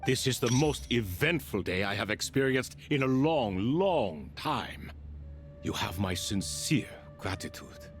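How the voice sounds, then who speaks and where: A man playing an ancient, courtly sage in a video game, speaking in formal, deliberate, dryly playful phrases. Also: mid-range voice, sing-song, faint music behind. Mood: reflective, solemn.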